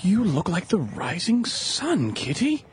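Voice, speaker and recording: An actor playing a vain, flattering, gallant Victorian lord with flowery, effusive speech in an audio drama. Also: male voice, high-pitched, slow, a little hiss or hum, heavily acted. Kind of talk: period audio drama acting.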